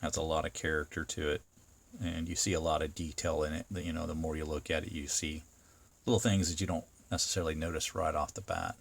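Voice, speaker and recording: Very low-voiced man reviewing products casually. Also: steady tone, quick pace, creaky. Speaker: product reviewer and video host.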